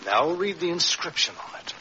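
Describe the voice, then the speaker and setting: A resolute Scotland Yard inspector in vintage radio drama. His voice is bright and on the high side, with animated, urgent delivery. The old recording is slightly muffled. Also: normal speed, slight background noise. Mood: gentle, insistent. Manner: urgent, earnest, emphatic.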